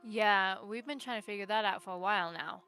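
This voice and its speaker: Woman live-streamer, casual gameplay commentary, forceful bright tone, smooth clear voice. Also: medium pitch, fairly quick, faint music behind. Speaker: game streamer.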